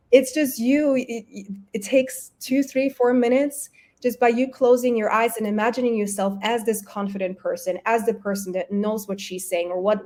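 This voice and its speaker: A woman's voice: medium pitch, a little mellow. Chatty woman, native German and Polish speaker. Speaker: guest, health coach and entrepreneur. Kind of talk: casual interview talk.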